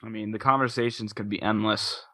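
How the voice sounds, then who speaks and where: A man's voice: lowish voice, fairly even intonation, fairly quick, fairly bright tone, no room sound. A man on a podcast panel chatting casually in loose, conversational phrases. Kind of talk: casual chat.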